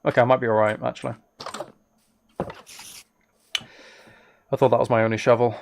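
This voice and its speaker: Gravelly man chatting casually while gaming. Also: medium pitch, normal speed, a little hiss or hum. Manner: relaxed, thinking aloud, muttering to himself. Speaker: streamer. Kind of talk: casual live game stream chat. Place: indoors at a computer. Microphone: close microphone.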